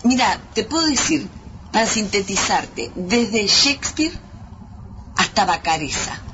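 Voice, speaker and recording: A woman's voice: mid-range voice, big pitch swings, measured pace, bright, forceful tone. Uruguayan woman reminiscing on a noisy recording. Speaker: actress, interview guest. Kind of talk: conversational reminiscence. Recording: dull, noisy lo-fi radio recording.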